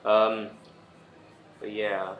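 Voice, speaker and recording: A man's voice: lowish voice, little pitch movement, extremely slow, narrow, tinny audio. A man giving casual, offhand, weary commentary as he plays through a video game. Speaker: gamer doing a playthrough. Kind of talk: casual let's play commentary. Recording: echoey room, faint background noise.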